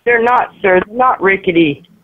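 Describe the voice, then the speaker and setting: A woman's voice: mid-range voice, moderate pace, dry recording. A woman speaks over a muffled phone connection. She is unrehearsed, puzzled and defensive, like a seller fielding a strange call. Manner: baffled, defensive. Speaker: yard sale seller answering a prank call. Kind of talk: unscripted phone conversation. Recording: muffled lo-fi telephone line.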